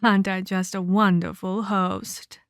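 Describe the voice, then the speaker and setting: A woman's voice: medium pitch, level tone, dry recording, clean studio sound, close to an everyday voice. A woman voicing a menacing female vampire captor in a scripted ASMR roleplay, theatrical and mockingly sweet as she scolds and condescends. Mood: mock-sweet menace. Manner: theatrical, mock-polite, slow deliberate scolding.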